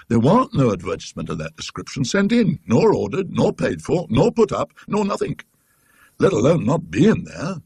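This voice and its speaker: A man acts out a working-class British tram conductor in a storybook ghost tale. He is talkative and indignant yet deferential, rambling emphatically in a high-lifted, husky voice that jumps about. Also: a little mellow, no room sound, full character voice.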